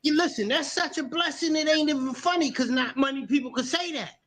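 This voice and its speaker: A man's voice: very high-pitched, a little fast, a bit bright. A man in his fifties chatting casually, informal and emphatic, repeating himself for emphasis. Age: 50s. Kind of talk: casual livestream chat.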